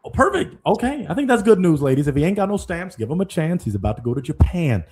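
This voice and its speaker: Male host for a live dating show, playful, husky edge to the voice. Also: a little high, fast. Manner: playful, animated, addresses guests as kind sir.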